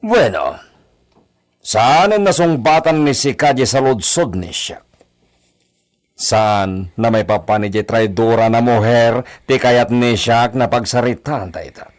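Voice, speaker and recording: A man's voice: medium pitch, unhurried, lightly acted. An actor playing a proud hacienda master, indulgent with his daughter, in radio drama style with Spanish phrases mixed in. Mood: cold, bitter. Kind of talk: Ilocano radio drama acting.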